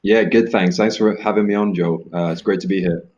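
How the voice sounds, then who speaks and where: A deep-voiced Englishman, talking as a guest on a podcast. He explains things carefully and at length, hedging as he goes. His voice comes through a dull, lo-fi remote connection. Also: fairly even intonation, brisk, a little mellow. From England. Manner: thoughtful, explains at length with qualifiers. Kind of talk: explanatory interview talk.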